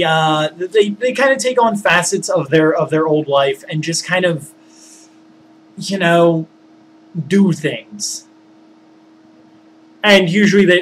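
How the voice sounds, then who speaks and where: A man telling a story casually, rambling and halting as he searches for words and digresses. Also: a little high, slight background noise, low-quality recording. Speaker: storyteller, former tabletop game master.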